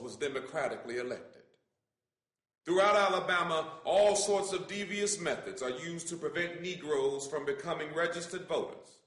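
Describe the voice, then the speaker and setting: A man gives a formal, measured reading of a written letter as solemn, emphatic oratory. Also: sits high, a bit bright. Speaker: reader. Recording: roomy, echoing sound.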